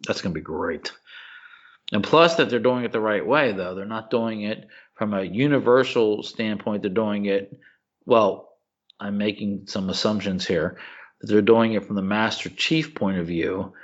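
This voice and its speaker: A man co-hosting a podcast in casual chat, gushing and excitable, calling his friend "dude.". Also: on the low side, moderate pace, lo-fi sound. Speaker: podcast co-host.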